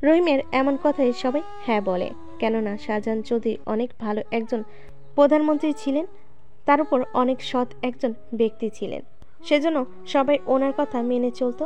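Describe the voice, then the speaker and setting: Higher-pitched woman narrating a story. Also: fairly quick, darkish tone, soft background music.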